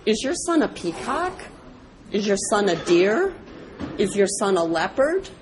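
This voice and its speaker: A woman's voice: medium pitch, big pitch swings, a little slow, background noise, pitched above the performer's own voice. A woman voicing a puzzled, doubtful messenger who questions things in a storybook fable.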